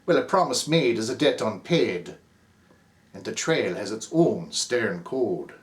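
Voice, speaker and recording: A man's voice: fairly low pitch, sing-song, unhurried. Theatrical Irish-accented man reciting a poem. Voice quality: rhythmic, theatrical, sweeping delivery. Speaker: narrator as the character Cap.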